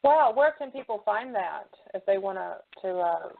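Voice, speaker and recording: A woman hosting a casual interview, phrasing her questions in an informal, halting way. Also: mid-range voice, expressive, gravelly. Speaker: show host, interviewer. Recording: muffled, narrow phone-like sound.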